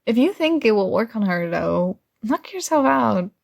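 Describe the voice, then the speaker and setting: A woman's voice: fairly high pitch, very animated, normal speed, gravelly, darkish tone, exaggerated voice. A woman playing a kind, earnest and oblivious female friend in an intimate ASMR roleplay, speaking casually and a little hesitantly. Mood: casual, encouraging. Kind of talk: ASMR roleplay, intimate one-sided dialogue.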